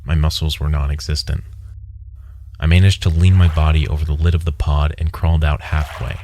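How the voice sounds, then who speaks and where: A man narrating a first-person horror story in a measured, dramatic way. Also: a bass voice, flat delivery. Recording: loud music under the voice. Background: loud music.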